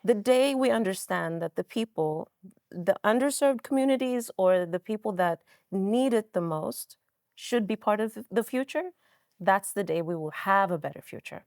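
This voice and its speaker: A woman's voice: medium pitch, expressive, moderate pace, darkish tone, no echo, clean studio sound. A woman educator giving earnest, emphatic interview answers.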